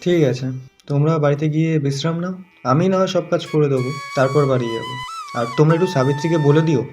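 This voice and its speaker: A man's voice: fairly high pitch, fast talker, over music, lightly acted. A man acting as a village headman's grown son in a cartoon folk tale. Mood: earnest. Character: village headman's sons, from scheming eldest to honest youngest.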